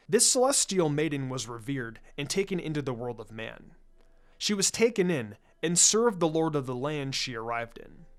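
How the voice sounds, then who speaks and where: A narrator reading a scripted story in an expressive, dramatic way, drawing out phrases for effect. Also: mid-range voice. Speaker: fan fiction narrator.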